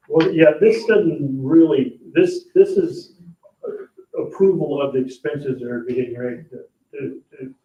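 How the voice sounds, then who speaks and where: A man's voice: fairly high pitch, takes it slowly, pauses often, a little mellow. A man speaking as a town official, explaining procedure at a public board meeting. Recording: echoey, muffled meeting room, distant mic.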